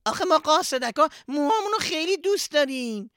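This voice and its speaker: A woman's voice: high-pitched, animated, a bit bright, no room sound, studio quality. A woman doing a lonely, timid dandelion seed. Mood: eager. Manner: whiny, fearful, cries out. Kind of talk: children's storybook acting.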